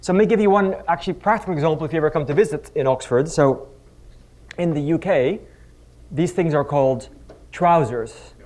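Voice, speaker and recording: A man's voice: fairly high pitch, brisk, darkish tone. Middle-aged male academic from the Netherlands, wry conference speaker on a stage mic, self-deprecating and chatty. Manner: wry, self-deprecating, conversational with frequent restarts. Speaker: physics professor giving a lecture. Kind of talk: conference talk with humorous anecdotes. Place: conference stage before a live audience.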